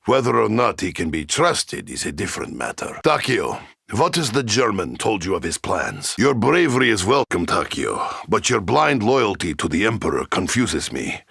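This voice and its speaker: Gravelly, hot-tempered Russian soldier. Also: male voice, sits low, fairly bright tone, no echo, studio quality, heavily acted. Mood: grave, wary. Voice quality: gravelly, rough. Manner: broken English, dropping articles, speaking of himself by name. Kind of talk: video game character voice lines.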